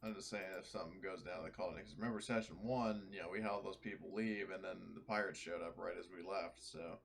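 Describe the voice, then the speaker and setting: A man's voice: low-pitched, fairly even intonation, fast, gravelly, a little hiss or hum. A man chatting casually as a player in a tabletop roleplaying game.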